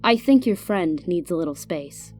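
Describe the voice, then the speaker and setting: A woman playing a motherly, practical older woman in an audio drama, with a gentle, tactful manner. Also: fairly low pitch, lively intonation, normal speed, lightly acted. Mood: soothing. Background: music.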